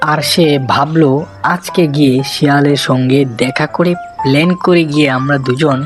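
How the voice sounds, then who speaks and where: Cartoon story narrator, medium pitch, faint music behind. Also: normal speed.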